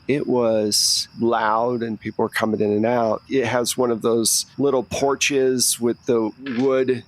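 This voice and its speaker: Slow-paced man running a tabletop game. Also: mid-range voice. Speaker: game master. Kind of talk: narrating a tabletop horror game.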